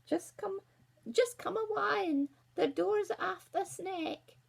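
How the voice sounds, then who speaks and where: A woman voicing a sly wolf pretending to be a granny, in a Scottish accent, slow and wheedling. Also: very high-pitched, gravelly, voice pushed up, full character voice.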